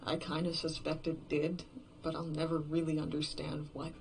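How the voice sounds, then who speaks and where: A performer voicing a despairing, obsessive lone survivor in a horror fiction podcast, brooding and halting in a confessional monologue, often dropping toward a whisper. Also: mid-range voice, monotone, a little hiss or hum, lightly acted. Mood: anxious brooding.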